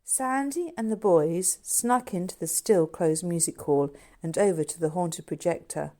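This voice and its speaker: A woman author reading her own novel aloud in an expressive storybook narration style. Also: on the low side, a little slow.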